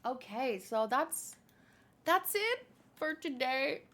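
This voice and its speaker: A woman's voice: high-pitched, sing-song, slow, a bit bright. A woman host chatting casually and informally about manga, rambling a little as she thinks aloud.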